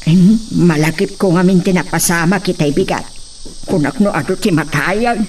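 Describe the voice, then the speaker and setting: A woman's voice: on the low side, normal speed, a little mellow, recorded from a distance, a little hiss or hum, close to an everyday voice. Reproachful Ilocano village woman, acted, off-mic. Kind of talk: Ilocano radio drama acting.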